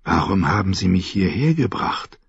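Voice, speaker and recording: A man's voice: sits low, big pitch swings, moderate pace, creaky, breathy edge, no room sound, lo-fi sound, exaggerated voice. A man voicing a weary, humble middle-aged knight in a fantasy audiobook, subdued and courteous. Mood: puzzled.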